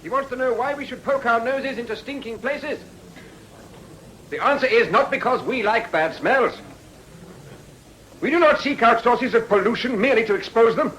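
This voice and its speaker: Casting: righteous parliamentary crusader, English, bright piercing tone, emphatic rhetoric over light background noise. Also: male voice, extremely high pitch, poor audio. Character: fervent moral crusader orating in Parliament. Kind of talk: declamatory parliamentary speech in radio drama.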